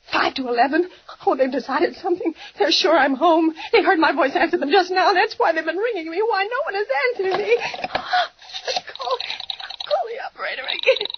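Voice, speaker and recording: An actress playing a frantic bedridden woman alone with a telephone, haughty and hysterical, in a 1940s radio thriller. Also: background noise. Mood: frightened. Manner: shrill, haughty and hysterical, sobbing breaks. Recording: muffled old radio broadcast.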